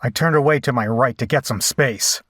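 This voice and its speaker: A man's voice: medium pitch, normal speed, fairly bright tone, natural voice. Man acting a rattled young rocker, noisy recording. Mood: shaken narration. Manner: colloquial, incredulous, profane. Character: brash, foul-mouthed young male rocker, rattled captive. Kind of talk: horror audio drama acting and first-person narration.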